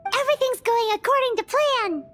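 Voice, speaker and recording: A woman voicing a tiny, childlike, excitable fairy companion in a video game, squeaky and chirpy. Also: a little fast. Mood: pleased. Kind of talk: English video game voice acting. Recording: game music behind the voice.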